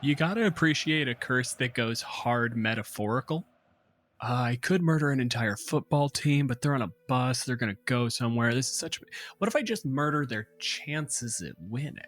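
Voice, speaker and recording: A man's voice: medium pitch, animated, normal speed, a bit bright, no echo. A man hosting a podcast, discussing things casually in a wry, musing way. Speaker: podcast host.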